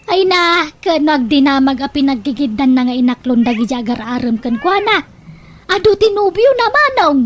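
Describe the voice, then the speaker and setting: A high-pitched young woman from a radio comedy drama. She is outspoken and teasing, chatting with a cheeky edge over faint background noise. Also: very animated, normal speed. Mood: nagging, probing.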